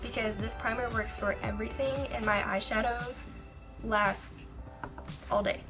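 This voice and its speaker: A young woman with a raspy, sore throat, chatting candidly through a makeup review with frequent ums and restarts. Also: on the high side, steady tone, a little slow, music in the background. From Maryland, USA. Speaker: beauty vlogger. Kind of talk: casual product review.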